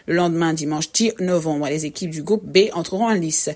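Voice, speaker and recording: Newsreader woman, lowish voice, steady formal delivery. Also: brisk. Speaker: news presenter. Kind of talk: read sports news bulletin.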